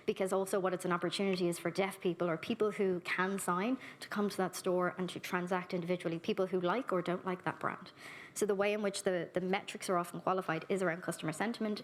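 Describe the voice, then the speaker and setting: A woman's voice: on the low side, a little hiss or hum. A woman speaking earnestly at a conference, giving a keynote and then answering audience questions on a handheld microphone. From Ireland. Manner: earnest, warmly direct, brisk with lists. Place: conference hall.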